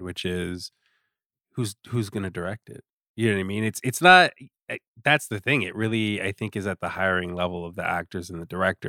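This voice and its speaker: Man, somewhat low voice, casual chat, opinionated, emphatic informal phrasing, dry room. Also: bright, forceful tone, no echo. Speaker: podcast guest, staff writer.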